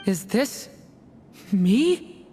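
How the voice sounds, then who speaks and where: A woman playing a gentle, hesitant young hero in a fantasy video game, thoughtful in delivery. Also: mid-range voice, very animated, extremely slow, soft background music. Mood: uncertain.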